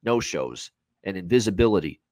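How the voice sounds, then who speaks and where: Male sports analyst, thirties, lowish pitch, dry sound, emphatic and opinionated, unscripted delivery. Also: animated, normal speed, a little mellow, no echo. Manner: emphatic, repeats phrases for stress. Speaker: sports betting analyst on a show. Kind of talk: opinionated unscripted hockey betting commentary. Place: indoor room at home, on a video call.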